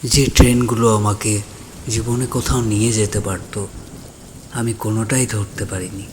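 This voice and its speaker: A man's voice: on the low side, fairly even intonation, normal speed, slight background noise, natural voice. A man playing a lonely, regretful young man in an audio story, speaking in a subdued, heavy-hearted way. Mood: quiet regret. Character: regretful lonely young man grieving his father, withdrawn, sorrowful. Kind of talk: dramatized audio story acting. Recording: voice over rain and thunder ambience.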